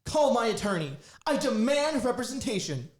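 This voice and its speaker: A woman's voice: a little high, big pitch swings, normal speed. A woman playing a quippy, indignant young student. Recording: echoey room, faint noise.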